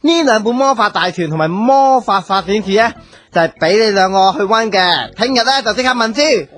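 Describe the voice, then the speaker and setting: An actor with a Hong Kong Cantonese accent playing a cheerful magic-school teacher in a comic radio drama. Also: male voice, high voice, lively intonation, fairly quick, fairly bright tone. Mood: upbeat teaching. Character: cheerful magic-school professor, a parody boy-wizard teacher.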